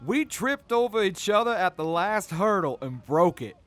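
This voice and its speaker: A man's voice: high-pitched, big pitch swings, normal speed, a bit bright, soft background music. A man playing an easygoing, good-humored soldier in a post-apocalyptic audio drama. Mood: amused reminiscing. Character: easygoing male wasteland soldier, good-humored crew member. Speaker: voice actor.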